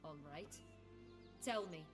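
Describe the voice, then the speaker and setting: A woman's voice: on the low side, takes it slowly. A woman playing a proud, wry, embittered adventurer in a fantasy video game, with a guarded, sardonic manner. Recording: reverberant, music behind.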